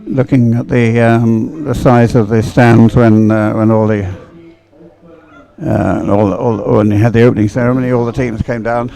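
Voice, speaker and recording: A man's voice: medium pitch, flat delivery, normal speed, vocal fry, warm tone, poor audio. A man giving unscripted live sports commentary as a race commentator, rambling a little and thinking aloud.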